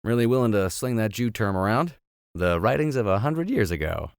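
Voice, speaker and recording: Male podcast reader, lowish voice with very animated intonation, playful, sardonic, given to digressions. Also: brisk, gravelly, clear, resonant tone, dry recording. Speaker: host and reader of a classics podcast. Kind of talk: audiobook reading with comic tangents.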